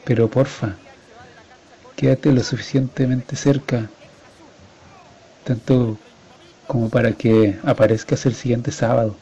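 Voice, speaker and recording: A man's voice: mid-range voice, steady tone, slow pace, long pauses, mellow, breathy edge. A man with a Chilean accent playing a conflicted divorced father in a colloquial, confessional radio drama. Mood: firm, conflicted. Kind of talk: radio drama monologue acting. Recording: slightly dull, lo-fi sound.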